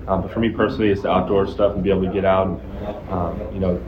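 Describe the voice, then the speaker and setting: A young man from Zimbabwe, a college football player, answering press questions in a relaxed way with many fillers. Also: low-pitched, very fast, echoey room, muffled recording, noisy background. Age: young adult.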